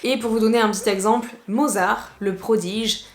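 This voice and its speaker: A woman from southwest France with a southwestern French accent, giving a casual video lesson as an English teacher, chatty and lively, slipping English phrases in. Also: a little high, moderate pace, roomy sound.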